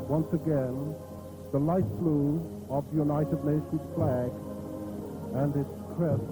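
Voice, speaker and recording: A man with an Irish accent giving grave, measured live commentary on a funeral procession in an old radio style, pausing between short phrases. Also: on the high side, rough recording. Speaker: radio outside-broadcast commentator. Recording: old reel-to-reel radio tape, band music behind. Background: loud marching band music.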